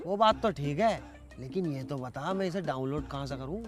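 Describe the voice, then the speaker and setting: A cheeky male villager, played by a man with a high-pitched voice. He sounds like a sceptic in a comedy sketch, with faint music behind him. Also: animated, normal speed, lightly acted. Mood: sceptical, curious.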